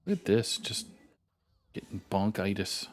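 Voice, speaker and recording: A man's voice: fairly low pitch, unhurried. A Canadian man with a Canadian accent, casually commentating a live card game stream and joking with his co-caster. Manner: animated, jokey. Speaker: esports caster.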